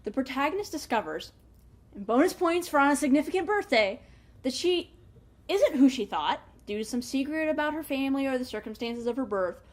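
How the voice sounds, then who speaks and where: Woman book reviewer, slightly high, chatty. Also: brisk. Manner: chatty, animated emphasis with wry asides. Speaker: book review show host. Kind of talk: casual scripted book review.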